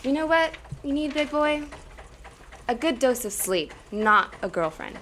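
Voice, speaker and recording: A woman's voice: sits high, expressive, a little slow, fairly bright tone. An actress playing a brash, sassy young female hitchhiker in an old-time radio drama, with a plain-spoken, wisecracking delivery. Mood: scared, defiant. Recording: clearly noisy radio play recording.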